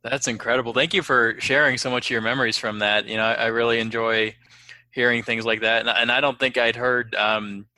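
A man's voice: mid-range voice, flat delivery, a little fast, pushed and bright, no room sound. A man hosting a casual interview, polite and appreciative, phrasing his questions a little hesitantly. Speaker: podcast host, interviewer.

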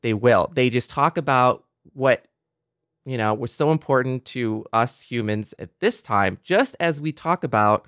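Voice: medium pitch, lively intonation, unhurried, a bit bright, no room sound, studio quality. A panelist talking casually and earnestly in a discussion, often repeating points for emphasis. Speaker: podcast panelist. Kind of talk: casual panel discussion about science fiction.

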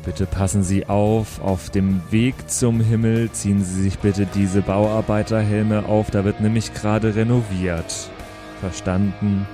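A man's voice: on the low side, flat delivery, measured pace, over music, lightly acted. A man playing a fussy, grumbling heavenly gatekeeper and bureaucrat in an improvised roleplay audio play, with an officious, complaining manner. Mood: indignant, officious.

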